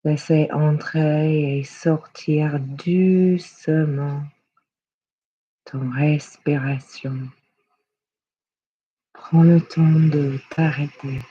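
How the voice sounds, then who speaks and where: A meditation guide speaks in a hushed, soothing manner, leading a relaxation with long pauses. The voice is mellow and breathy at a middle pitch, and the recording sounds slightly dull. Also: steady tone. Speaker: yoga nidra guide.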